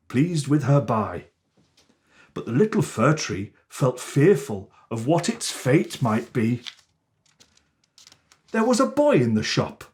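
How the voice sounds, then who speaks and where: A man reading a children's storybook as Father Christmas, with theatrical, deliberate and expressive phrasing. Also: mid-range voice.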